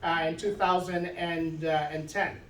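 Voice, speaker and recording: A man's voice: on the high side, steady tone, unhurried, fairly bright tone, a little hiss or hum. A middle-aged man from the Philippines giving an informal talk to a student club, often checking in with "right?". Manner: conversational, often asks "right?". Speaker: guest speaker. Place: event room. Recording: echoey room, mic from far off.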